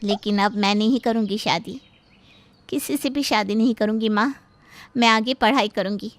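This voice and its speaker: A woman's voice: a little high, normal speed, dry recording, close to an everyday voice. A woman playing a hurt, defiant young unwed mother-to-be in a dramatized moral story. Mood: resolute.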